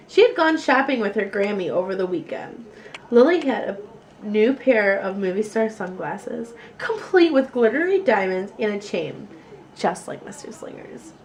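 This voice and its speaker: A woman storyteller reading a children's picture book aloud with expressive, deliberate phrasing. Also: medium pitch, slight background noise, low-quality recording.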